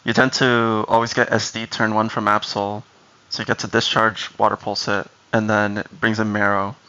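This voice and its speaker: A man's voice: fairly low pitch, level tone, moderate pace, pushed and bright, clear, resonant tone, highs cut off. A man from Brazil whose first language is Portuguese, chatting casually on a live stream, explaining strategy and answering chat.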